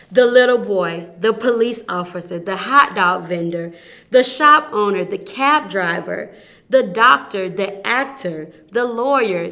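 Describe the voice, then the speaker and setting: A woman reading a children's picture book aloud as a storyteller, emphasising phrases expressively and deliberately. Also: medium pitch, a bit bright. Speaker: volunteer children's story reader.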